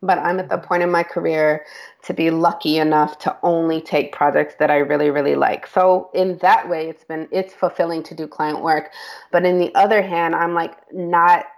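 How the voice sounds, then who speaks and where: A woman's voice: deep voice, steady tone, moderate pace, creaky. A woman creative director speaking as a podcast guest, candid and chatty, laughing now and then and correcting herself as she goes. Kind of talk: casual interview talk.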